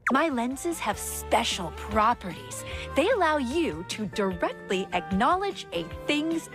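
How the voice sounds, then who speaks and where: Perky, coy game-character spectacles female actor, very animated and high-pitched, playful throughout. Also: exaggerated voice. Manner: cutesy, teasing. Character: playful, flirty talking magic pair of glasses. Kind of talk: game character audition acting. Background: music.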